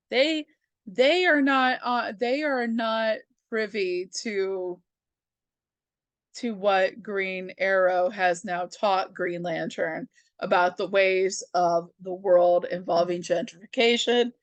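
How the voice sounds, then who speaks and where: A woman's voice: mid-range voice, takes it slowly, no room sound. A woman hosting a comics review, retelling a story in casual, slangy, chatty language and slipping into the characters' dialogue. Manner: slangy and informal, acts out characters' dialogue in own voice. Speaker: host of a comics review show.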